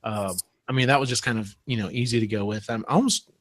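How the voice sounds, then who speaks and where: A man's voice: mid-range voice, fast talker, a bit bright, dry recording. A man hosting a casual panel chat, rambling a little as he feels for words. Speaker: podcast host.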